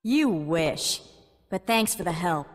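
A woman playing a cool, practical, no-nonsense young survivor in a video game cutscene, dry and measured in manner. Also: fairly low pitch, animated, in a large hall. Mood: dry, teasing.

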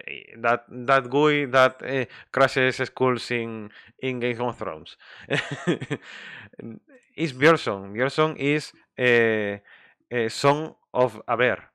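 Slow, halting man speaking English. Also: mid-range voice, pushed and bright, smooth, no echo, clean studio sound. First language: Spanish. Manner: halting, frequent restarts and fillers; laughs often. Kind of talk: casual group chat in English.

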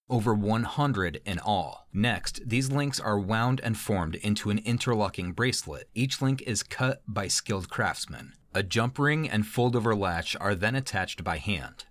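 A man's voice: lowish voice, fairly bright tone, no echo, close to an everyday voice. A man voicing a salesman reading old mail-order advertising copy in an announcer style for a podcast. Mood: matter-of-fact selling.

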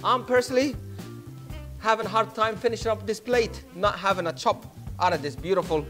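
A man's voice: sits high, fairly quick, fairly bright tone, soft background music. A man in his thirties hosting a cooking show in a studio kitchen, upbeat and playful as he talks straight to the camera on a lapel mic. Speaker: chef host. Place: home-style studio kitchen, indoors.

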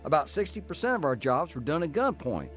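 A man's voice: a little high, very animated, brisk, fairly bright tone, soft background music. An actor playing a tough career criminal telling his life story in a folksy, plain-spoken way for a radio drama. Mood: reflective, rueful. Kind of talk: radio drama acting and first-person narration.

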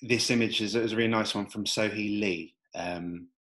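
A man's voice: on the low side, normal speed. Chatty man explaining nutrition slides. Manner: relaxed, many fillers and restarts. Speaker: nutrition coach. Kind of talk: talking over slides, casual explainer.

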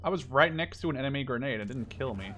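A man in his twenties from the Netherlands, chatting casually while playing a shooter, swearing and yelling when things go well or badly. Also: mid-range voice, fast talker, a little hiss or hum. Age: 20s. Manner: excitable, swears and shouts at big moments. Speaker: gaming YouTuber. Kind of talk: casual gameplay chat. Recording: faint game gunfire behind.

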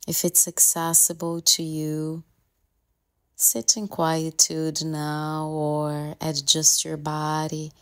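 Very slow, low-voiced woman guiding meditation. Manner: calm, gentle, with long pauses between short phrases. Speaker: meditation guide. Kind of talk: guided meditation, soft and soothing instruction.

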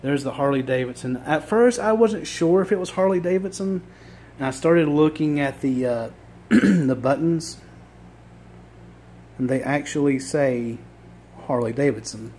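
A man with a Virginia accent, losing his voice and clearing his throat, chatting casually to a phone camera indoors as he shows off thrift finds. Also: a little high, unhurried, mellow, slight background noise. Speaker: thrift reseller vlogger. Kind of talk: casual show-and-tell vlog. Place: indoor room with items on a table. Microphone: phone camera held while filming.

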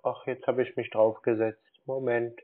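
A man on a phone call, talking as a confused customer in a halting, dithering way and repeating himself. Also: medium pitch, level tone. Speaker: scam baiter playing a gullible customer. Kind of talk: phone call, slow put-on pensioner act. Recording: dull band-limited phone line.

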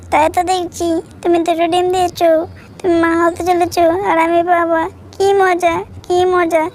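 Small cartoon bird, extremely high pitch, flat intonation, eager, repetitive exclamations, children's story. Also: female voice, slight background noise. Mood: delighted. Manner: exclaiming, repeats phrases.

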